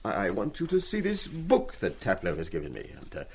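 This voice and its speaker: An actor playing an ailing, repressed middle-aged schoolmaster in a radio play, formal, hesitant and apologetic in manner. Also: male voice, lowish voice, huge pitch swings, brisk, creaky, a little mellow, breathy edge, exaggerated voice. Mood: strained courtesy.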